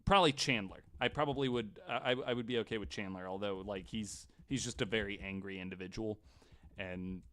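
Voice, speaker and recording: A man's voice: fairly low pitch, brisk, sharp tone. Irreverent, sweary male podcast host. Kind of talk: casual chat.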